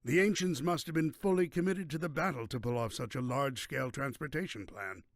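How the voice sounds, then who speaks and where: A man's voice: medium pitch, brisk, no room sound, studio quality, natural voice. A man playing a pompous, theatrical middle-aged mad-scientist villain in a video game, talking with condescension. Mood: lecturing. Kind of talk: video game cutscene acting.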